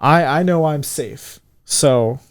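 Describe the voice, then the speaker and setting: A man in his twenties co-hosting a casual podcast chat, swearing freely, his voice jumping high when he gets indignant. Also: slow, dry recording, studio quality. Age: 20s. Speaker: podcast co-host.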